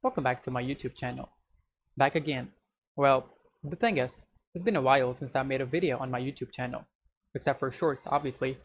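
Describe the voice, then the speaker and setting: A young Indian man talks loosely and rambles, looping back to the same stock phrases. His voice sits at an everyday middle pitch. A faint hiss of noise runs under the recording. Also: brisk. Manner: rambling, repeats "so the thing is". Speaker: YouTuber reacting to a video. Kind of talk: casual reaction commentary.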